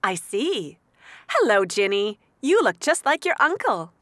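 A woman playing a friendly, brisk young female mechanic who is gently strict, in a children's cartoon. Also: mid-range voice, very animated, no room sound. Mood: welcoming. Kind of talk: animated children's cartoon dub.

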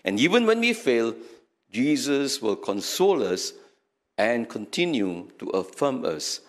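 Slow, animated older Singaporean man preaching. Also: medium pitch. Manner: earnest, emphatic, preaching with hand gestures. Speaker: archbishop. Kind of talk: sermon. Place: small chapel, indoors. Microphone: stand mic in front.

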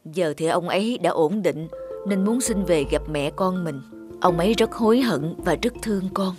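A woman voicing a tender, forgiving older mother in an animated drama, with a worried, pleading manner. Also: on the low side, normal speed, over music, close to an everyday voice.

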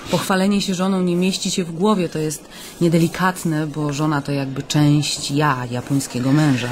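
A woman's voice: low voice, fairly even intonation, moderate pace, slight background noise, natural voice. An actress playing a friendly, candid woman journalist, attentive and confiding, in a conversational radio drama. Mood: confiding, thoughtful.

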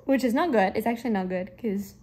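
A young woman chatting casually to a handheld camera as a vlog host, animated and joking at her own expense. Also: on the high side, fast, breathy edge. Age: young adult. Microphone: phone or camera at arm's length. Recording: music behind, handheld outdoor and indoor vlog.

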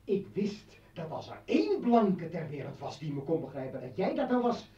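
An actor playing a bitter, menacing revolutionary ex-husband in a radio play, with a taunting, rhetorical manner. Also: male voice, on the high side, big pitch swings, moderate pace, dark tone. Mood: impassioned, indignant. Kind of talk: Dutch radio play acting. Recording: dull, echoey old radio recording, distant.

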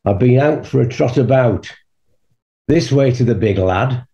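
A man voicing a gruff, hearty male reindeer in a radio pantomime, speaking in a bluff, matey way. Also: mid-range voice, measured pace, dark tone, muffled recording, pitched below the performer's own voice, close to an everyday voice.

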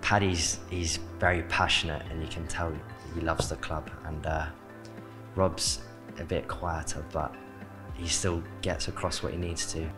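A man's voice: very deep voice, flat delivery, measured pace. A young man in his late teens from south London with a South London accent, a young footballer answering interview questions in short, plain replies with "like" as filler. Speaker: academy footballer. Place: indoor club media studio. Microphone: handheld mic. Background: background music.

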